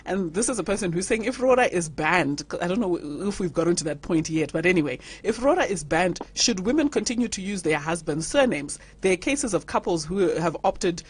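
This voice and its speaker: A woman's voice: on the low side, animated, a little fast, hardly any pauses, husky. A woman hosting a radio panel discussion, reading out listener messages and laughing often. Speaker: radio talk show host.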